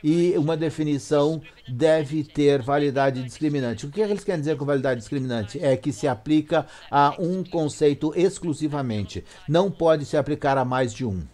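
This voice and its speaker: Brazilian guy doing live lecture translation, slightly high voice, kinda halting and correcting himself. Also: a little mellow. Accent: Brazilian Portuguese. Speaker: interpreter.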